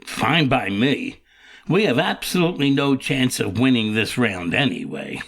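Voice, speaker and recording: An actor playing a gloomy, laid-back slacker on a game show in an audio comedy, drawling and fatalistic. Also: male voice, mid-range voice, very animated, a little slow, vocal fry, fairly bright tone, breathy edge, exaggerated voice. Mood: resigned.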